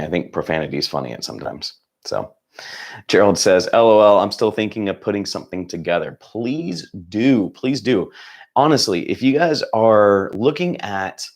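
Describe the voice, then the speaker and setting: A young man with a very low voice runs a relaxed livestream chat from his home setup. He sounds hoarse, as if he is close to losing his voice. He rambles in an upbeat way, full of fillers and false starts. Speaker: channel host.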